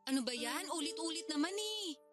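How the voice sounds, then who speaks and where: A woman's voice: sits high, lively intonation, a little fast. A Filipino woman playing a pushy older sister from the province, sarcastic, complaining and wheedling in a radio drama. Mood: pleading, wheedling. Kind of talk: Tagalog radio-drama phone dialogue. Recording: music bed behind the voice.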